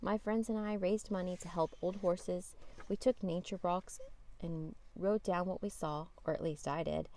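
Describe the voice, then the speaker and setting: A woman reading a children's picture book aloud as a storytime host, with expressive emphasis on key words. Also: lowish voice, moderate pace. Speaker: storytime podcast host and reader.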